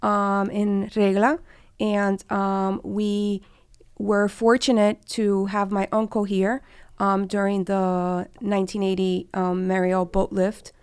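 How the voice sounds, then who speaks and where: A woman's voice: mid-range voice, little pitch movement, takes it slowly, darkish tone, clear, resonant tone, no echo, clean studio sound. A woman in her forties from Cuba, telling her own story conversationally as an interview guest. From born in Cuba, came to the US as a child. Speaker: guest, immigration lawyer and law firm partner.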